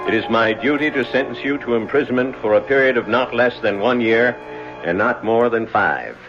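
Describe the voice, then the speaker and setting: An actor playing a stern, formal judge passing sentence in a radio drama. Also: male voice, medium pitch, moderate pace, faint music behind, lightly acted. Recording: muffled old radio recording.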